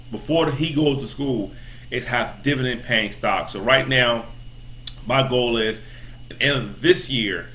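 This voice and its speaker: A man's voice: mid-range voice, bright, forceful tone. A man in his thirties giving a casual, rambling motivational talk as a vlogger, full of repeats and "you know" fillers. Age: 30s.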